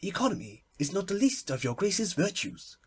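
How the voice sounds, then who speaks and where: A performer voicing a solemn, obsequious little palm-reading man, speaking in a deferential, precise, measured way in a storybook. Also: mid-range voice, big pitch swings, slightly rough, pitched above the performer's own voice. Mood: solemn, courteous.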